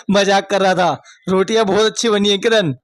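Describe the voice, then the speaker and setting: A woman's voice: medium pitch, fairly even intonation, unhurried, no echo, studio quality, pitched below the performer's own voice, natural voice. A woman voicing approving, lightly teasing in-laws in dramatized storybook dialogue.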